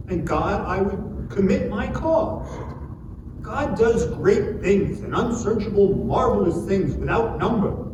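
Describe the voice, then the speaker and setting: A man's voice: sits high, recorded from a distance, lo-fi sound. A man playing an earnest, moralizing friend who admonishes a sufferer in a declamatory, preachy dramatic scripture reading. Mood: stern questioning. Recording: echoey hall from afar, noisy.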